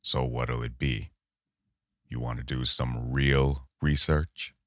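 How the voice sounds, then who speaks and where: A man acting a condescending, intimidating boss, very deep and slow. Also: pushed and bright, no echo, clean studio sound, exaggerated voice. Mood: pressing, menacing. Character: menacing, smooth-talking boss recruiting with veiled threats. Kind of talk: audio drama acting.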